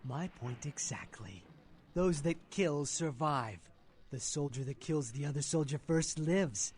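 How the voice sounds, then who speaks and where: Creepy male clerk, slightly high voice, obsessive admirer, insinuating, preachy, video game acting, faint background noise. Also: lively intonation, measured pace, a little mellow. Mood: fawning, fervent. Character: creepy, fawning male blood bank clerk, admires killers.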